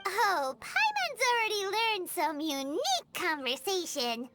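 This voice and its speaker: A small childlike fairy companion for a video game. The voice is squeaky and extremely high, with lively swings and an unhurried pace. The figure is cheeky and blunt, with music playing behind. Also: female voice, heavily acted. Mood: playful. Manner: refers to self in third person, exclaiming.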